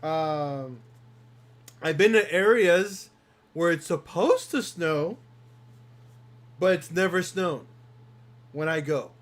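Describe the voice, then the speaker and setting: A man in his thirties, hosting a casual question-and-answer chat, talking in a relaxed, rambling way and laughing often. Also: fairly high pitch, takes it slowly, long pauses. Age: 30s. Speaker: garage channel host.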